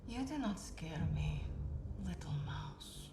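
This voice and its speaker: A woman's voice: lowish voice, animated, slow pace, noisy background. Echoey, defiant woman soldier. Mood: scornful defiance. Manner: curt, contemptuous. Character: fierce, defiant, scornful Soviet woman sniper held captive. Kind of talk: cinematic game acting.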